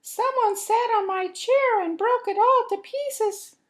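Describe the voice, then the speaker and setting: A woman voicing a tiny, excitable boy mouse with a squeaky voice. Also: slow, roomy sound. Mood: alarmed.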